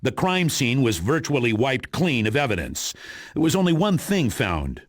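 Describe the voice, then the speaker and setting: A man's voice: mid-range voice, sing-song, normal speed, no echo, studio quality. A man playing a stern, businesslike spy commander giving video game mission briefings in an authoritative, matter-of-fact way.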